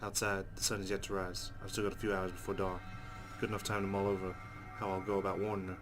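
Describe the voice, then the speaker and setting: A man's voice: low voice, quick pace, over music. A man reading visual novel narration aloud and adding casual reactions of his own. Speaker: let's play host reading game text aloud. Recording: steady loud background noise.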